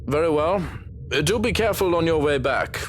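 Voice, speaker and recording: A man's voice: fairly high pitch, animated, a little fast, a little hiss or hum, close to an everyday voice. An actor playing a formal, mannered male companion in a video game, speaking in old-fashioned phrasing with a dry, sardonic edge. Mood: wry. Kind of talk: voiced video game dialogue.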